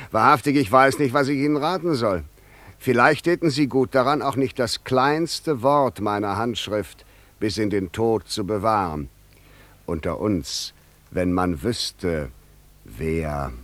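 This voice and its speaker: An actor playing a sly, servile secretary with insinuating, ironic politeness in a German radio play. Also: male voice, on the low side, sing-song, unhurried, fairly bright tone, no room sound.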